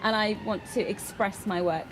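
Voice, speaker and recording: Over clear background noise, a woman gives a live spoken interpretation of a personal statement. Her voice sits at a medium pitch, and she delivers it phrase by phrase, with halting pauses. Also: fairly even intonation. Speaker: interpreter voicing a Deaf signer.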